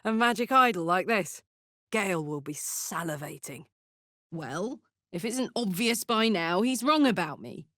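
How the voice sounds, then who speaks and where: A woman voicing a brash, warm-hearted fantasy warrior in a video game, blunt, informal and expressive. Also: mid-range voice, a little slow, no room sound, clean studio sound. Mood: earnest. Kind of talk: video game character voice acting.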